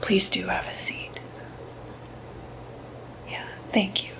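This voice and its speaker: A woman speaking in a breathy whisper, softly reassuring and hesitant, in an ASMR medical roleplay as clinic staff. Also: slow pace. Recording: noticeable background noise, ambient sound.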